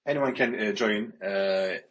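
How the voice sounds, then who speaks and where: A man from Turkey, moderating an online panel with halting speech full of filler sounds. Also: fairly low pitch. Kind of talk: online audio panel chat.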